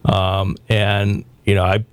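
A talk show host from the American South with a slightly low voice. He speaks bluntly and opinionatedly, often stopping and restarting his sentences. The recording is dry and close. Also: monotone, clear, resonant tone, no room sound. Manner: blunt, provocative, halting with restarts.

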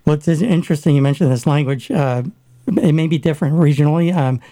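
A man in a casual discussion, talking haltingly with many fillers and restarts. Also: on the high side, mellow, dry recording, clean studio sound. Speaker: guest discussing Ukraine.

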